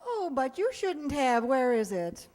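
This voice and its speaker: A woman's voice: high-pitched, big pitch swings, measured pace, darkish tone. A woman acting in a radio sitcom as a wisecracking schoolteacher, with a dry, sardonic delivery. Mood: welcoming. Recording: echoey hall, long reverb.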